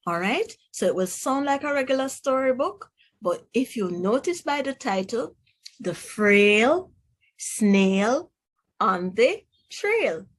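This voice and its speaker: A woman with a Jamaican accent reads aloud to children as a teacher, enunciating words in an instructive way and putting questions to the listener. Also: mid-range voice. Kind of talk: children's read-aloud phonics lesson.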